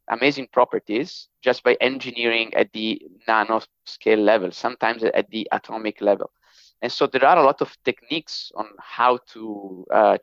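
A man's voice: medium pitch, a bit bright, no room sound, dull audio. A man in his thirties from northern Italy, speaking English with an Italian accent as a podcast guest, hesitant with many fillers and restarts. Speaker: podcast guest, technology executive. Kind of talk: casual interview talk.

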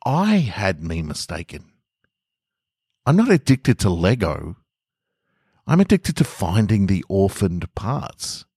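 Guy reading as an earnest wistful man in a story, real deep voice, confessing, close and dry. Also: a little mellow, husky, dry recording, heavily acted. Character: earnest man obsessed with orphaned toy bricks.

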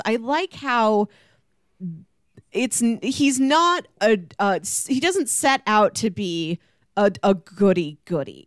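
A woman's voice: on the high side, no echo, clean studio sound. A woman hosting a podcast, chatting casually about a book and acting out bits as she retells it. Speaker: podcast host.

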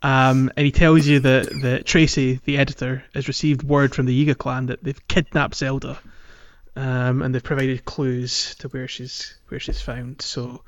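Male podcast co-host, mid-pitched, halting and rambling casual gaming chat, slightly muffled lo-fi audio. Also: level tone. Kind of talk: casual video game playalong chat.

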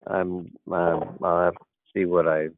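A man in casual conversation answering hesitantly, halting with many fillers. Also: low-pitched, little pitch movement, dry recording. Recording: tinny phone-like line, dry.

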